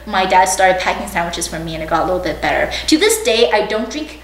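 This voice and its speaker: A woman's voice: lowish voice, big pitch swings, quick pace. A young woman from China and the United States casually recounting personal memories in an informal, hedging way as an interviewee. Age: young adult. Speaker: oral history interviewee. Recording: roomy, distant mic, faint background noise.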